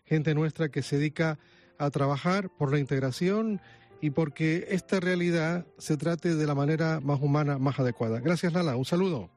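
A male radio host, interviewing in a relaxed, friendly way. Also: on the high side, moderate pace, soft background music.

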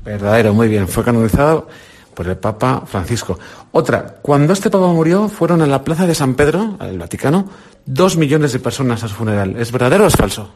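A man's voice: medium pitch, very animated, a little mellow. A middle-aged man with a Spain Spanish accent, a radio presenter running an encouraging quiz with a children's group. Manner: encouraging, quizzing with quick questions. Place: indoor visit with a children's group.

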